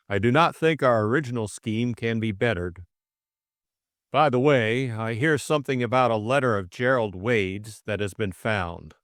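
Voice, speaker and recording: A man voicing a stern Russian who chairs a secret criminal society, speaking in a commanding, deliberate way for an audiobook. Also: lowish voice, dry recording, clean studio sound.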